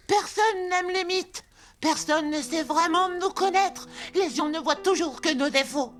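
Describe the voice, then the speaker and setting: A woman voicing a misunderstood, wistful female moth in an animated cartoon, earnest and plaintive. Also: extremely high pitch, a little slow, soft background music.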